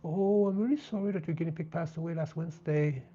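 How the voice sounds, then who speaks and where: A man with a French Canadian accent, a native French speaker, chatting gently and casually as he hosts a live craft stream. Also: a little high, fast talker, slightly rough, faint music behind. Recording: dull, lo-fi sound.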